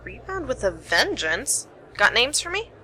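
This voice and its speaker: A woman's voice: a little high, big pitch swings, unhurried, bright, forceful tone, slight background noise, full character voice, poor audio. A woman playing a wry, determined young private investigator in an amateur radio play. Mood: wry, brisk.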